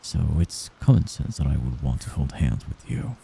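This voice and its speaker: Man acting very deep, murmuring doting mafia heir. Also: very animated, creaky, slight background noise, exaggerated voice. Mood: shy, smitten. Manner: soft-spoken, halting, apologetic while giving orders. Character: young mafia heir, gentle, hesitant, doting, possessive friend. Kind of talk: intimate ASMR roleplay.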